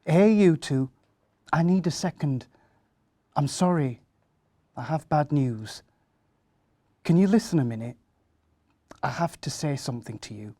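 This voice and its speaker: A man voicing a gentle, apologetic mother breaking bad news to her children in a children's story, soft and hesitant. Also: a little high, lively intonation, measured pace, pauses often, dry recording, studio quality.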